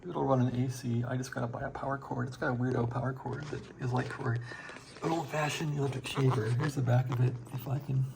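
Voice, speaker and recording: A man's voice: medium pitch, little pitch movement, fast, creaky, noisy background. An American man giving a casual, unscripted show-and-tell as a hobbyist, talking in a rambling, folksy, colloquial way into a handheld phone. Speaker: radio hobbyist showing a restoration project.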